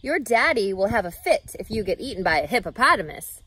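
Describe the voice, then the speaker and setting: A woman's voice: medium pitch, sing-song, brisk, sharp tone, slightly rough, full character voice, rough recording. A woman voicing a kindly young man who mentors a boy, earnest and encouraging, in a storybook read-aloud. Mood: cautioning, teasing.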